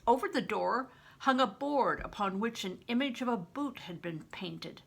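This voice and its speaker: Older woman reading aloud, slightly raspy voice. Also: fairly high pitch, animated, normal speed. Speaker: storybook reader, retired reading teacher. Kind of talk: expressive scripted audiobook narration.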